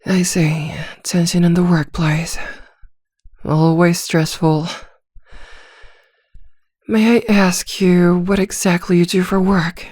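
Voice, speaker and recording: A woman playing a composed, gentle therapist in an ASMR roleplay, speaking softly in a measured, reassuring way with thoughtful pauses. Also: on the low side, level tone, dry recording, clean studio sound. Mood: attentive, calm. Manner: measured, reassuring, hesitant pauses with soft hums. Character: composed female therapist, gentle, attentive and discreetly probing. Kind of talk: soft-spoken ASMR roleplay acting.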